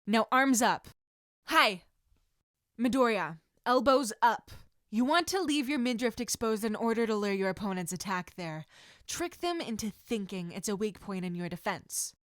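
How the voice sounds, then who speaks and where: A woman's voice: medium pitch, expressive, normal speed, a bit bright, no echo, clean studio sound, lightly acted. Woman voicing a stern, commanding female martial arts instructor. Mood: barking orders.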